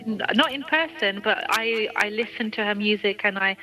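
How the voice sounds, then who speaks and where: A woman's voice: mid-range voice, moderate pace, poor audio. A woman from the UK, a singer, talking casually about her music in an interview, with many restarts and frequent laughs. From United Kingdom. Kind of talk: phone interview, casual chat.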